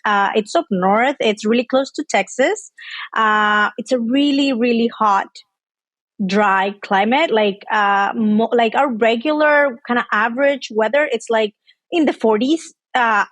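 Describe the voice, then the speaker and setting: A woman's voice: fairly high pitch, a little slow, fairly bright tone, no echo. A woman in her thirties from Mexico, speaking English. She chats casually as a podcast guest, with lots of fillers. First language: Spanish. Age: 30s. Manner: chatty, with frequent fillers and laughs. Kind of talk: casual interview chat.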